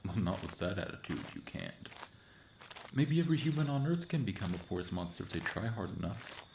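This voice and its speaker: A low male voice , a man acting as a playful prankster monster of the woods in an ASMR roleplay. He talks casually and boastfully, teasing the listener like a friend. The sound is roomy, with clear noise behind it. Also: very animated, a little fast. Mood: teasing, gentle. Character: playful prankster forest cryptid, friendly, mischievous, clawed monster.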